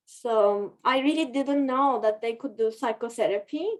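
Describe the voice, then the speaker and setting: A woman with a somewhat high voice talks through her story in an interview. She speaks thoughtfully, pausing and restarting often with small fillers. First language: Turkish. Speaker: guest, scientist training as psychotherapist. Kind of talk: reflective interview talk.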